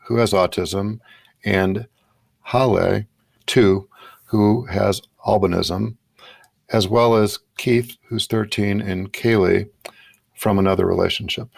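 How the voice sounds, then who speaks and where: Male podcast host, conversational interviewer. Lowish pitch with noticeable fry, dry recording. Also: no room sound.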